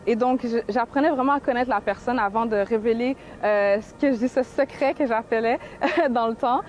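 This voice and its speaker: A woman's voice: on the high side, normal speed, darkish tone, a little hiss or hum. A young woman in her twenties with a Québécois accent gives an earnest personal testimony, with frequent hesitations. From Montreal, Canada. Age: 20s. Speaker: activist and painter. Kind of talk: personal testimony in a video clip.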